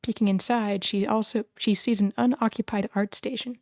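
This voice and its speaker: A woman's voice: medium pitch, fairly even intonation, normal speed, darkish tone, dry recording, studio quality. A woman reading stage directions as narrator, in scripted narration at a screenplay table read.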